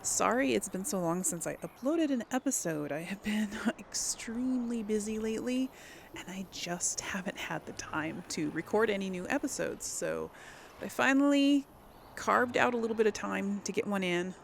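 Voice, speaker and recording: A woman's voice: mid-range voice, lively intonation, normal speed, vocal fry, a little hiss or hum. A woman giving casual let's play commentary as host of a gaming video, chatty and rambling as she thinks aloud, often hesitating and correcting herself.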